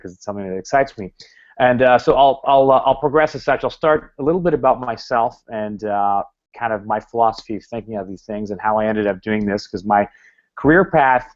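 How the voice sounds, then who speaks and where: A man giving a talk to a club, rambling with many fillers and restarts. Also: lowish voice. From Canada. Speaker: guest speaker. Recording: dull lo-fi recording.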